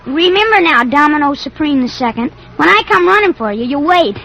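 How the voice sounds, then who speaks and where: Plucky American ranch child, about eight, radio western; very high, bright, determined. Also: female voice, expressive, a little fast, low-quality recording. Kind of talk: old-time radio western acting.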